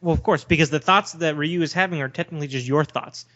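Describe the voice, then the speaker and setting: A man's voice: fairly high pitch, quick pace, a bit bright. A man co-hosting a podcast, debating casually in a blunt, questioning, challenging manner. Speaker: podcast co-host. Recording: dull, lo-fi voice recording.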